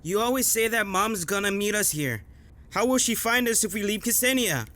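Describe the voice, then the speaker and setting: High-voiced pleading son, played by a young man. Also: expressive, moderate pace, bright, forceful tone. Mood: distressed. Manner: pleading, questioning. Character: upset young son pleading for his mother. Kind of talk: dramatic film-style acting.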